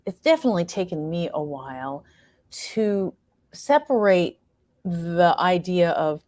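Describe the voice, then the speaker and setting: Woman, lowish voice, dry close sound, reflective interview talk, earnest, halting as she searches for words. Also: darkish tone, dry recording. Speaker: playwright and performer being interviewed.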